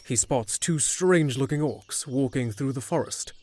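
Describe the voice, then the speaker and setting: A man's voice: mid-range voice, normal speed, a little mellow. A man narrating a scripted fantasy audio drama, with music underneath.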